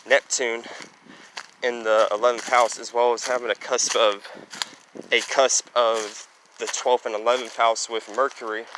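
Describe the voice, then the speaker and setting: A young man with a loud, booming voice talking casually while walking outdoors, rambling along with lots of "you know" and "basically". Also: little pitch movement, measured pace. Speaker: astrology vlogger. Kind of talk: casual walking vlog monologue. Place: outdoors, walking near trees and traffic. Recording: outdoor street noise, wind and passing traffic.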